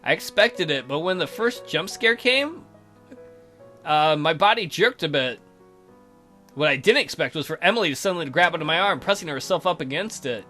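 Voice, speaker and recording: A man's voice: fairly high pitch, lively intonation, normal speed, pushed and bright, soft background music. A man voicing an awkward teenage schoolboy who narrates his visual novel story in a stammering, hesitant way.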